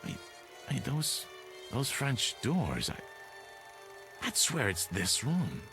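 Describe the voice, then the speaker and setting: A man's voice: medium pitch, huge pitch swings, extremely slow, pushed and bright, full character voice. An actor playing a worried, caring male friend in an old television drama, hesitant and urgent in manner. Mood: stunned. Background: faint music.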